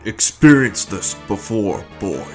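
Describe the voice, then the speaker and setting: A man's voice: on the low side, very animated, slow. A man playing a menacing conqueror supervillain in an amateur comic-book dramatic reading, with cold, theatrical deliberation that turns to rage. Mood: enraged taunting. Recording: music behind the voice.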